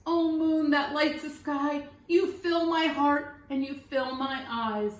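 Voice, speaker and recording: A woman's voice: sits high, steady tone, slow pace, distant mic. A woman voicing an earnest, wondering young boy in a storybook, with dramatic, exclamatory delivery. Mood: awed. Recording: roomy, echoey room sound.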